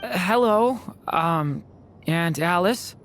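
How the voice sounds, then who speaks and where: An actor voicing a gentle, shy young dragon boy in a video game, polite, apologetic and hesitant. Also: mid-range voice, lively intonation, slow pace, very noisy. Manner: hesitant, soft-mannered, polite. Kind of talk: animated video game character acting.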